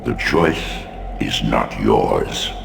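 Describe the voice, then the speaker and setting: Game deity, male: ancient, commanding, portentous, very slow and gravel-throated, echoing over music. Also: lively intonation, exaggerated voice. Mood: solemn, ominous. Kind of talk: dramatic video game voice acting. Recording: booming cavernous reverb, music behind.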